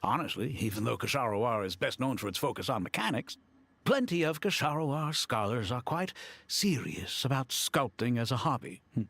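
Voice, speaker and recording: A man's voice: mid-range voice, very animated, normal speed, vocal fry, fairly bright tone, heavily acted. A man voicing an eager, chatty, friendly scholar in a video game, with an informal manner.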